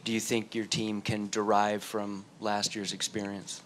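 A man's voice: on the low side, level tone, a little slow, fairly bright tone. A man who is a newspaper reporter, asking a question off-mic at a press conference.

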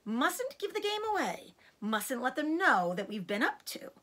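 A woman's voice: high voice, big pitch swings, measured pace, a bit bright, voice pushed up, full character voice. A woman voicing a cocky, gleeful, bossy male fox father in a storybook, with a showy, exclamatory delivery. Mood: bossy, cautioning.

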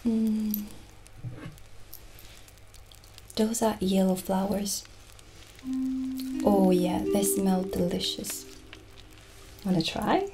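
Slow, soft-spoken witch shopkeeper, acted by a woman. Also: medium pitch, fairly even intonation, poor audio. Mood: gentle, inviting. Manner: soft-spoken, soothing, lapsing into breathy near-whispers. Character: gentle, mystical witch shopkeeper selling potions. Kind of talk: improvised ASMR roleplay. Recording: soft background music. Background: soft music.

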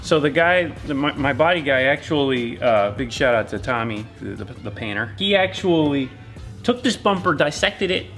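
A man's voice: mid-range voice, lively intonation, normal speed, fairly bright tone, soft background music. A man showing his race car in a workshop, talking casually and repeating words as he goes. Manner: repeats words, restarts, enthusiastic about parts. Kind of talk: casual walkaround chat.

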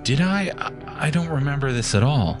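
A man's voice: mid-range voice, expressive, normal speed, over music, close to an everyday voice. A man playing a bewildered, volatile asylum patient with a violent streak in an audio drama. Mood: dazed, confused.

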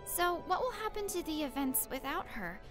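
A woman plays an eager, impulsive teenage schoolgirl in an anime fan dub. Her voice sits high, and she speaks earnestly, rising quickly to determination. Faint music plays behind her. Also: very animated.

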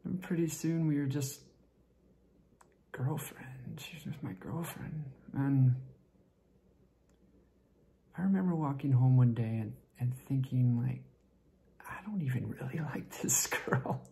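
Man acting a creaky, hushed, lovesick young man. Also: mid-range voice. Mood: wistful, rueful. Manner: halting, repetitive, breathy drops to near-whisper. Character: tipsy, lovesick young man confessing to a friend. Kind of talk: dramatic stage monologue rehearsal.

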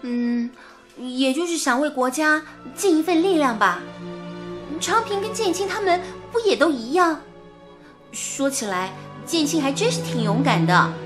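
A woman's voice: high voice, expressive, measured pace, music in the background. An actress playing an earnest young woman working at a wartime radio station, in radio drama dialogue. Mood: sincere, reserved.

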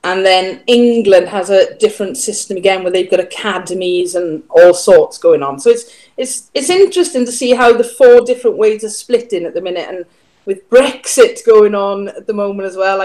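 A woman's voice: mid-range voice, fairly quick, a little mellow. A middle-aged woman with a Welsh accent, a music teacher chatting as a guest on a video call from home. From Wales. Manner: chatty, friendly, explains at length. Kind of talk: casual interview chat. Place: home room, indoors. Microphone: video call webcam.

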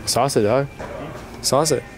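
A man's voice: medium pitch, unhurried, music in the background. A teenage young man hosting a casual, hyped vlog in slangy speech with some swearing, talking into a handheld camera. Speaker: vlogger, prankster host. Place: big-box store and its parking lot at night. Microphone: handheld camera at arm's length.

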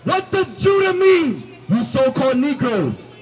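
A young man preaching on a city sidewalk into a handheld microphone, commanding and questioning his listeners, often rising to shouts. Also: extremely high pitch, big pitch swings, a little slow, some chatter behind, rough recording. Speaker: street preacher. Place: city sidewalk by a food cart.

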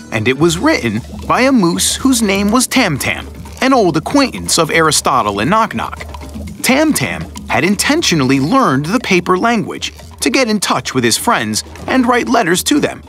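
High-voiced, very animated male children's narrator. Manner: expressive, storybook delivery. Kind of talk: playful scripted storytelling for children.

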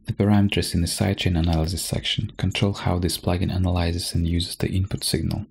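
A man narrating a software tutorial from a script, as a presenter explaining step by step. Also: low-pitched, little pitch movement, quick pace, gravelly.

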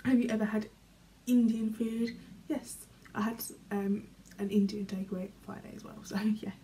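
A young British woman vlogging from her bedroom, reading questions aloud and answering in a chatty, rambling way, often trailing off quietly. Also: mid-range voice, fairly even intonation, moderate pace, slight background noise, rough recording. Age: young adult. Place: small bedroom, indoors. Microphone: camera in front, medium framing.

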